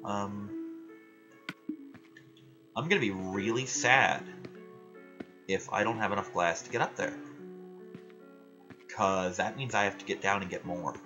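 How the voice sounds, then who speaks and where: A young man ranting loudly and swearing in casual chat while he plays a video game. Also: fairly low pitch, dull audio. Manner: loud, ranting, frequent swearing. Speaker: gaming vlogger.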